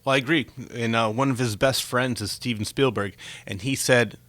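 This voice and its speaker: A man's voice: mid-range voice, fairly even intonation, normal speed, fairly bright tone, dry recording. A man hosting a conversational interview, chatty and given to telling anecdotes. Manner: chatty, tells anecdotes with acted-out quotes. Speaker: talk show host and interviewer.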